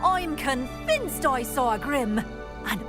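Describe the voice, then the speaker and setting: A woman's voice: high-pitched, animated, slow, music in the background. An actress playing a fretful, theatrical older witch in a video game, plaintive and dramatic. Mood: anxious, pleading. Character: anxious, dramatic older witch fearing an omen of death. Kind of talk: video game character acting.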